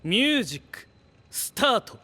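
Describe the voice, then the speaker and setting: A man's voice: very high-pitched, sing-song, moderate pace, music in the background. A man playing a confident, theatrical young scientist in an anime-style voice drama, speaking in grand, self-assured declarations. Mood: proud, showy.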